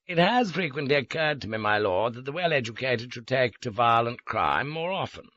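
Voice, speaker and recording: A cold, well-spoken villain with a superior, precise manner, as a male reader puts him on. His voice sits noticeably higher than the reader's own, and the audiobook sound is dry and a little dull. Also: expressive, moderate pace, fairly bright tone, no echo, natural voice. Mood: smug. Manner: smug, superior, precise diction.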